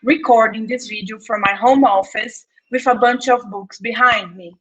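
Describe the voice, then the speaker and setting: A woman in her thirties from Brazil with a Brazilian Portuguese accent, giving a careful, measured reading of an academic talk from her home office. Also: on the high side, husky. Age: 30s. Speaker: anthropologist, postdoctoral researcher. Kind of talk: read academic conference talk. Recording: muffled lo-fi video recording.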